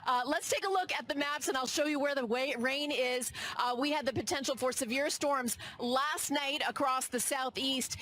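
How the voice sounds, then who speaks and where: Woman, weather presenter, outdoors in rain, cheerful and chatty, high voice, faint ambience. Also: a bit bright, a little hiss or hum. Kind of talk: live outdoor weather report.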